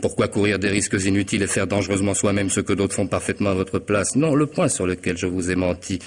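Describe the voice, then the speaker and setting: A man's voice: low-pitched, fast, natural voice. An actor playing a cunning, mocking hitman in a radio mystery, with theatrical irony and precise, formal diction. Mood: smug, cold. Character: cunning middle-aged hitman, smug, mocking, methodical blackmailer. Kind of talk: French radio mystery drama acting.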